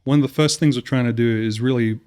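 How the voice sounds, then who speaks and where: A man's voice: mid-range voice, steady tone, fast, darkish tone. Guy on a tech podcast, normal mid voice, explaining stuff casually, says right a lot. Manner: explanatory, conversational, peppers points with "right?". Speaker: podcast co-host, audio system designer. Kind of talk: casual technical podcast talk.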